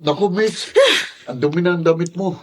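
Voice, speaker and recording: An actor with a Filipino accent, playing a gentle, apologetic young man in a radio drama. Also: on the high side, normal speed, a little hiss or hum, lightly acted. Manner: apologetic, reassuring.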